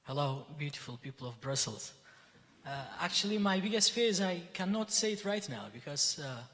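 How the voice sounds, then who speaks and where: A man's voice: high-pitched, expressive, a little fast, fairly bright tone. A young man from Iraqi Kurdistan, a student panelist, talking a little nervously. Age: young adult. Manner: nervous, laughing lightly. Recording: long hall reverb.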